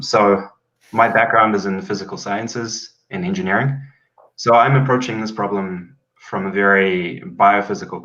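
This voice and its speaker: A man's voice: low-pitched, fairly bright tone, highs cut off. A young man with a New Zealand accent on a video call, explaining his research in a fluent, earnest and technical way. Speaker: PhD student guest.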